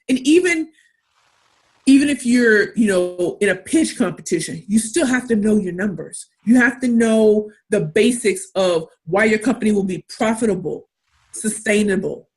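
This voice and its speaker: A woman with a St. Louis accent coaching a workshop on pitching, speaking emphatically and repeating key phrases for punch. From St. Louis, Missouri. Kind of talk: motivational workshop talk.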